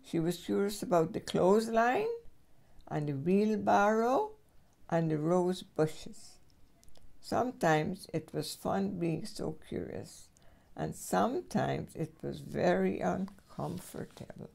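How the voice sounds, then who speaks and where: Older woman, gravelly creaky voice, mellow tone, storybook read-aloud, expressive storyteller phrasing. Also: lowish voice, slow pace. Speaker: great-grandmother reading aloud to children.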